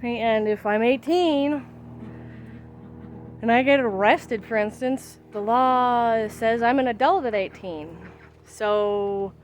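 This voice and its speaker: Chatty woman in her thirties, somewhat high voice. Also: slow pace, a little hiss or hum. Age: 30s. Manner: chatty, rambling, emphatic. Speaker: vlogger. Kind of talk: casual vlog chat. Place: outdoors in a backyard with ducks.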